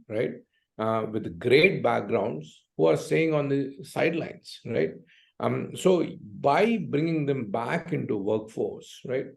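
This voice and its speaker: A man with a South Indian accent answering as an interview guest, conversational, with halting phrasing and frequent check-in tags. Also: medium pitch, vocal fry, a little mellow. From Hyderabad, Deccan region of India. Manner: hesitant phrasing, frequently tags sentences with "right?". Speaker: entrepreneur and investor, interview guest.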